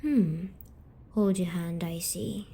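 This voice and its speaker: A woman voicing a gentle, wounded female sleep paralysis demon in an ASMR roleplay, coaxing softly and deliberately with breathy half-whispers. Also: lowish voice, slight background noise, voice pushed down. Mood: coaxing, teasing. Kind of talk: slow ASMR storytelling roleplay.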